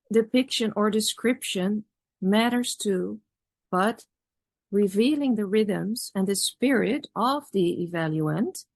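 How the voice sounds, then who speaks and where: A woman whose first language is Dutch, giving a measured, reflective academic talk at a conference with pauses and restarts. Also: mid-range voice, gravelly, a little mellow, no echo. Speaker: conference speaker.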